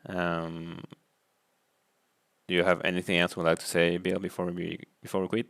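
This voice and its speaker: Male host, deep and flat, low-key, gravelly edge. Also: moderate pace. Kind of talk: casual interview chat.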